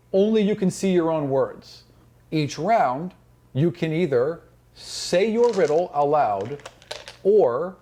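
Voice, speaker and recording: A man's voice: fairly high pitch, darkish tone. A man running a tabletop fantasy game as game master, narrating descriptively and voicing sound effects.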